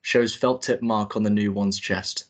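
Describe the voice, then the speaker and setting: A man's voice: lowish voice, fairly even intonation, a little slow. A young man informally directing a table read and narrating stage directions, a little hesitant. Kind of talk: informal hosting and script narration.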